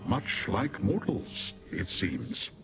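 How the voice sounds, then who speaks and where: A man voicing a proud, stern Dremora demon warrior in a fantasy video game, speaking formally and with measured disdain. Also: mid-range voice, big pitch swings, over music. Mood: impatient disdain. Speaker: non-player character in fantasy game. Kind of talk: scripted video game dialogue.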